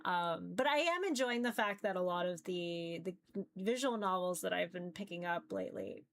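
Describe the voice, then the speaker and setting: A woman's voice: on the low side, very animated, moderate pace. A woman chatting casually to viewers as a livestreamer, informal and rambling, with a giggly manner. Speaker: visual novel streamer.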